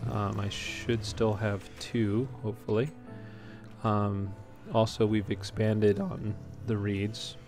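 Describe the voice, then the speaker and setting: Low-voiced man doing casual game commentary. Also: level tone, slow pace, music in the background. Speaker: let's play host. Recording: clearly noisy home recording.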